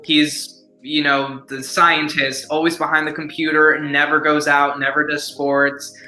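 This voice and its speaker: A man's voice: fairly high pitch, flat delivery, unhurried, bright, forceful tone, soft background music. A young adult man chatting eagerly as a guest actor in a casual interview, with lots of "like" in his speech. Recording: echoey room.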